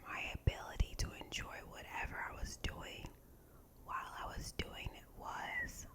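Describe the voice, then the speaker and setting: A woman reading meditations aloud in a soft, breathy, unvoiced whisper, in ASMR style with deliberate phrasing.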